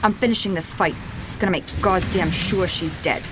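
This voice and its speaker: A woman's voice: on the low side, moderate pace, background noise, lightly acted. An actress playing a fierce, headstrong young woman fighter in a fantasy audio drama, curt, defiant and profane.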